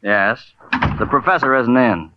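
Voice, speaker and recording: An actor playing a flippant, hip laboratory assistant with an arch, glib manner, in old-time radio drama. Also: male voice, mid-range voice, a little slow, lightly acted. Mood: flippant, teasing. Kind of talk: 1940s-50s radio drama acting. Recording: dull, faintly noisy old radio recording.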